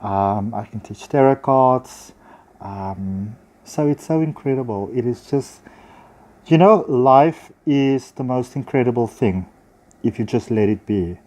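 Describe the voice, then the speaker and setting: Male, South African accent, mid-pitched, effusive and chatty; informal phone vlog monologue addressed to viewers. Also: expressive, measured pace, darkish tone. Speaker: spiritual healer and teacher. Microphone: handheld phone.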